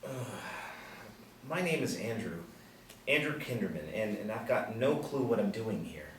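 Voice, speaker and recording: Guy reading a confused hurt young man, hesitant, lowish voice, echoey room. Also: very animated, normal speed. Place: small indoor room. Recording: roomy distant mic, faint hiss.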